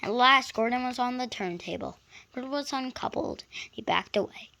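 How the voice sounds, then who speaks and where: A woman reading a children's story aloud in an expressive style. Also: a little high, moderate pace, gravelly. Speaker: story reader.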